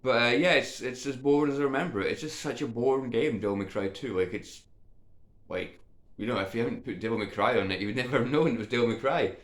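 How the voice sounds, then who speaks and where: A man's voice: medium pitch, fast. A man chatting casually to viewers as a video game vlogger, slightly congested with a cold, in an informal, hesitant, meandering way. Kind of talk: casual rambling chat to viewers. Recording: echoey untreated room.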